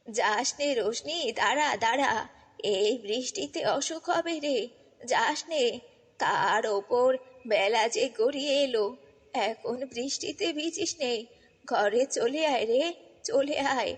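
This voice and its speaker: A woman's voice: a little high, lively intonation, slow pace, breathy edge, long reverb tail, full character voice. A woman voicing a loving, worried old grandmother in a fairy-tale cartoon, pleading and affectionate. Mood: anxious pleading.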